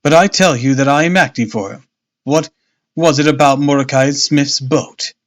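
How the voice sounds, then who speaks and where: A man voicing a polite but firm gentleman, a detective's companion, in a storybook reading. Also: mid-range voice, moderate pace, lightly acted.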